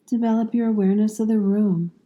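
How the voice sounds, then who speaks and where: A woman leading a guided meditation as a yoga nidra instructor, giving calm, soothing instructions in measured phrases with long pauses. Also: mid-range voice.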